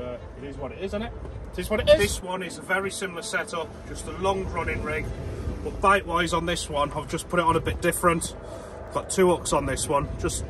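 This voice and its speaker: A man's voice: fairly high pitch, background noise. A man hosting an angling vlog, talking in a casual, colloquial, easygoing way. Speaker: angling vlogger and host. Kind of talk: casual vlog chat and narration.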